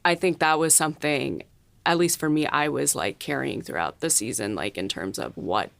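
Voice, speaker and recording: A woman's voice: very deep voice, moderate pace, vocal fry, fairly bright tone, no echo. A woman in a casual behind-the-scenes chat, reflective and searching for her words as she goes. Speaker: podcast host and series writer.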